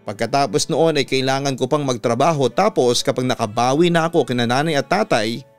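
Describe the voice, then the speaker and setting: A man's voice: mid-range voice, brisk, faint music behind, close to an everyday voice. A Filipino man voicing a young woman, a scolding but affectionate sweetheart, in a narrated story. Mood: shy, practical.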